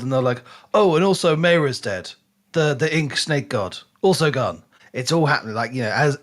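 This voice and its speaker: A man's voice: mid-range voice, a bit bright. A man co-hosting a podcast, casually discussing a book and acting out reactions as he retells it.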